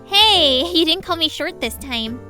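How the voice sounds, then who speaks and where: A woman's voice: high voice, animated, unhurried, pushed and bright, faint music behind, heavily acted. A woman voicing a small, reserved young girl with a hesitant manner in a fan audio drama. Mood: shy, tired. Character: short, reserved young girl, easily tired by socializing.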